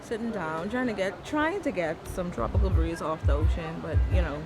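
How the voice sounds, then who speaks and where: A young adult woman with a raspy voice chatting casually in a relaxed, slangy travel vlog. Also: lowish voice, moderate pace, over music. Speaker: vlogger.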